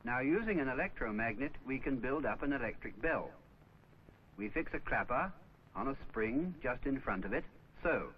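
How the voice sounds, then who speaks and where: A man's voice: mid-range voice, expressive, moderate pace. A man narrating a scripted 1950s instructional film, explaining step by step in a didactic way. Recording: dull, noisy old 1950s film soundtrack.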